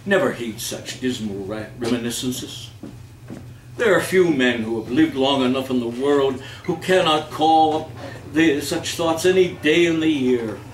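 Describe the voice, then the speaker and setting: A man reading literary pieces aloud as a host, with measured, declamatory, deliberate phrasing. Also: mid-range voice. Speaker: reader and host of a holiday readers theater. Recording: echoey room, distant mic, faint noise.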